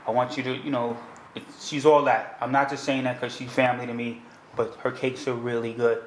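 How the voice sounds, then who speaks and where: A man's voice: mid-range voice, little pitch movement, brisk, echoey room, slight background noise, poor audio. A man from New York with a New York accent, hosting a casual interview in a loose, chatty way with many fillers and restarts. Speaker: host.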